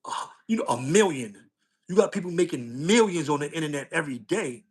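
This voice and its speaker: A man's voice: a little high, animated, fairly quick, breathy edge. A middle-aged man talking casually to his livestream viewers, using street slang and often repeating himself with "you know what I'm saying". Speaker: social media streamer.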